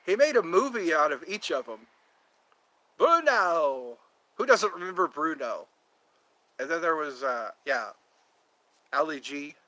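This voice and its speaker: A man's voice: fairly high pitch, sing-song, measured pace, long pauses, pushed and bright, dry recording, poor audio. A man in his fifties hosting a casual livestream, chatting to viewers in a meandering way and slipping into quoted voices. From United States. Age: 50s. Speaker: livestream host.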